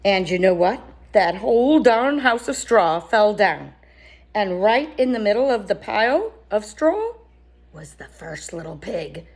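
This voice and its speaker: A sly storybook wolf, as a woman reader plays him, swinging widely up and down in pitch. He protests his innocence in a chatty, folksy, exaggerated way, indignant and eager to justify himself to young listeners. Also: sing-song, a little slow. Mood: folksy, mock innocent. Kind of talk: animated children's storybook read-aloud.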